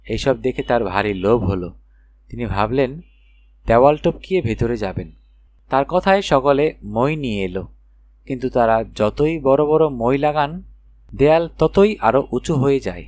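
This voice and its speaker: A man's voice: mid-range voice, a little mellow, dry recording. A man narrating a children's fairy tale expressively as an audiobook reader.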